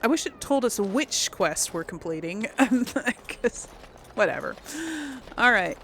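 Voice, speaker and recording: A woman's voice: on the high side, lively intonation, slow, a bit bright, slight background noise. A woman doing casual, chatty let's play commentary, thinking aloud and reading in-game text. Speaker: host of a role-play game series.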